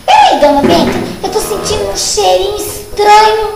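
A woman's voice: very high voice, moderate pace, vocal fry. A woman voicing a cheeky, impudent little living rag doll girl in a cartoon, bossy and demanding. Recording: echoey room, music behind.

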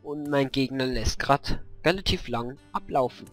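Hoarse man with a cold, commentating. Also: medium pitch, unhurried, fairly bright tone. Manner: thinks aloud, weighing moves, frequent fillers. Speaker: gameplay commentator. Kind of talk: casual card game commentary. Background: faint background music.

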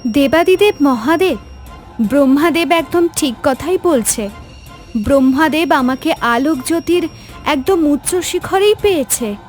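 A woman's voice: sits high, expressive, unhurried, darkish tone, faint music behind. A woman voicing a humble flower spirit in graceful female form in a mythological cartoon story. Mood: deferential.

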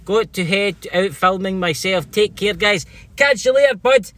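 A man's voice: high-pitched, expressive, normal speed, fairly bright tone. A middle-aged Scottish man hosting a casual vlog, chatting and teasing jokily with a friend. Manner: jokey, teasing banter. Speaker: vlog host. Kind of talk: casual livestream chat with a friend. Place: inside a parked car. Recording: noticeable background noise inside car.

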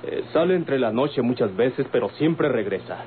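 A man's voice: mid-range voice, animated, moderate pace, phone-quality sound, natural voice. An actor playing an earnest, polite man in a vintage radio drama, explaining formally and insistently with a Mexican accent. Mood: earnest, serious. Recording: thin, hissy old radio recording.

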